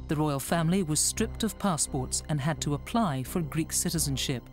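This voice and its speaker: A documentary narrator delivering scripted, measured explanation. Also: mid-range voice. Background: music.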